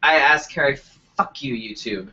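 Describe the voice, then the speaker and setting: A man's voice: a little high, moderate pace. Stuffy-nosed man telling a story. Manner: profane, animated storytelling. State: stuffy, congested. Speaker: co-host. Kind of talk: casual live web show chat. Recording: dull lo-fi web stream.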